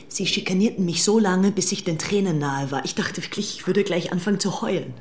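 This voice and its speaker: Actress as haughty, fanatical young upper-class woman, lower-voiced. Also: fairly quick, close to an everyday voice. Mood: indignant, gushing. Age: young adult. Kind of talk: dramatized audiobook documentary, reading diary and letters.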